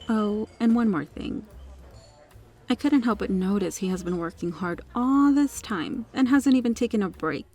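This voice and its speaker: A woman's voice: medium pitch, moderate pace, warm tone, soft background music, close to an everyday voice. A woman playing a kind, caring restaurant regular in an ASMR roleplay, soothing and reassuring. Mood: polite, assertive.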